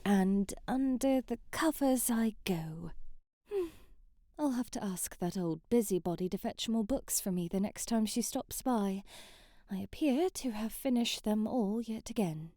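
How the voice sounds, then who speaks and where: Girl acting a romantic young princess for asmr roleplay, kinda slow n theatrical, storybook talk, very dry close sound. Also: fairly high pitch, dark tone, dry recording. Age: young adult. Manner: theatrical, flowery, prim storybook phrasing, sighing, often half-whispering. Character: sheltered, romantic, dramatic young princess locked in a tower. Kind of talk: ASMR roleplay audio drama, soliloquy.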